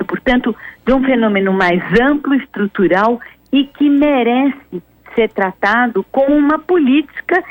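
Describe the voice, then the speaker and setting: A woman's voice: fairly high pitch, no echo. A woman with a Brazilian accent giving emphatic, argumentative radio commentary as a columnist, stressing key words over a muffled line. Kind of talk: spoken explanatory commentary on radio. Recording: dull, dry phone-like radio line.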